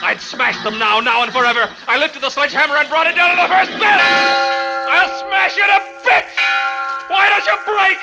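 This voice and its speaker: A man's voice: very high-pitched, fairly even intonation, normal speed, creaky, low-quality recording. An actor playing a tormented, obsessive madman in an overwrought, repetitive 1940s radio thriller style. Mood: frenzied rage. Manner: melodramatic, overwrought, repeating phrases. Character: tormented madman hounded by bells, frantic and obsessive. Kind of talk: 1940s radio thriller acting, first-person narration. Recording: vintage radio broadcast with loud music.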